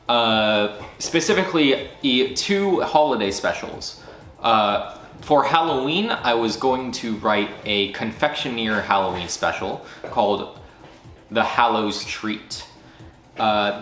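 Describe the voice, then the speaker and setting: A man's voice: lowish voice, pushed and bright. A man giving a casual solo recap of his channel's year, rambling eagerly with lots of restarts and self-corrections. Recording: echoey room.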